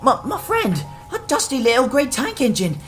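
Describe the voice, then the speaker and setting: A woman's voice: high-pitched, wildly swooping pitch, normal speed, soft background music. A woman playing a frightened, earnest young male tank engine in an audio drama, stammering and pleading. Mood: flustered. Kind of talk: amateur audio drama acting.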